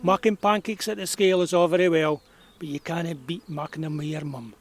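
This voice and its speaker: Man acting a high-voiced, kindly old Scotsman. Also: fairly even intonation, a little fast, close to an everyday voice. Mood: kindly, reassuring. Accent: Scottish, rural Perthshire. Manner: folksy, reminiscing, chuckling. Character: kindly, nostalgic old Scottish man, about eighty. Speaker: actor in an audio drama. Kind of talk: naturalistic audio drama storytelling.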